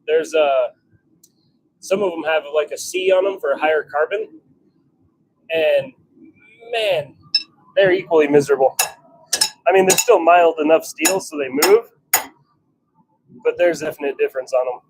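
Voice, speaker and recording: A man chatting casually on a live stream, thinking out loud as he works in his workshop. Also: a little high, unhurried, pauses often, noisy background. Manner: chatty, thinking out loud while working at the forge. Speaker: livestream host, hobby blacksmith. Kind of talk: casual live-stream chat while working. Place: home forge workshop.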